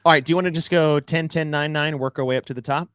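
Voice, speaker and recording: A man's voice: on the high side, fairly even intonation, quick pace, a bit bright, clear, resonant tone, no room sound, studio quality. A man co-hosting a casual podcast, teasing his partner and laughing often as he talks. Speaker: podcast co-host.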